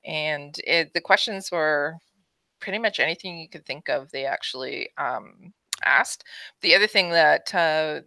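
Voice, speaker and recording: A woman's voice: sits low, measured pace, creaky, bright, forceful tone, no echo. A woman co-hosting a casual podcast chat, chatty and upbeat. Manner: chatty, upbeat, trailing restarts. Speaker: podcast co-host.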